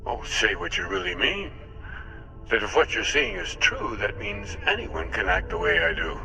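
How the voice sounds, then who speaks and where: An actor playing a cynical immortal villain in a video game, gravelly and sardonic, goading the listener. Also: male voice, high voice, big pitch swings, normal speed, sharp tone, faint music behind, full character voice, poor audio. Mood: taunting. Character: cynical, taunting immortal male villain, formerly human. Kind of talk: dramatic video game acting.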